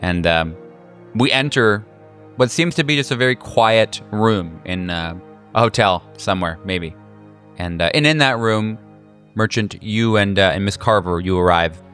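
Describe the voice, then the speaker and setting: A man acting as game master, improvising descriptive horror narration in a halting, casual way. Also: on the low side, expressive. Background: soft music.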